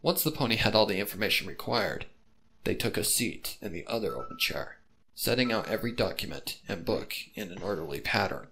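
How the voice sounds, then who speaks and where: A man's voice: fairly low pitch, lively intonation, fairly quick, creaky, bright, forceful tone, poor audio. A man reading a story aloud as a narrator, with dramatic scripted delivery. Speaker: fan fiction narrator.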